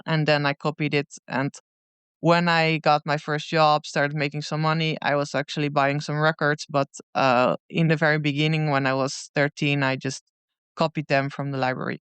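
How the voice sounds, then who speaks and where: Dutch woman answering, crisp clear voice. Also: low-pitched, dry recording. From Netherlands. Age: 30s. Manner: thoughtful, unhurried, many pauses. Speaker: guest musician. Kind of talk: casual interview answers.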